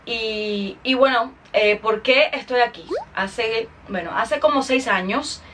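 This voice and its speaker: A woman's voice: fairly high pitch, slow pace, fairly bright tone, distant mic, a little hiss or hum. A woman with a Cuban accent hosting her podcast in a chatty, informal monologue to her followers. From Cuba. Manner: chatty, informal, with English interjections. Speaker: podcast host and singer.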